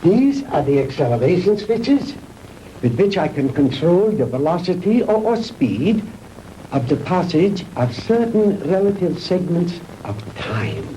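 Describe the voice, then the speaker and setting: An actor playing a grandiose older Nazi scientist in a 1960s B-movie, lecturing pompously and deliberately. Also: male voice, a little high, dark tone, poor audio. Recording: hissy old 1960s film soundtrack.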